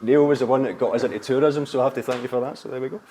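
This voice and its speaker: A man hosting an interview, talking briskly and warmly with a business guest he has known for years. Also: mid-range voice, steady tone, slight background noise. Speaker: interviewer, agency owner. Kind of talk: friendly interview.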